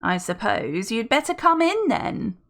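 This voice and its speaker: A woman doing a raspy posh old butler. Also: fairly high pitch, very animated, fairly bright tone, pitched above the performer's own voice, exaggerated voice. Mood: aloof. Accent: posh upper-class English. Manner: slow, measured, haughty. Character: old male butler, posh, aloof, slow-spoken.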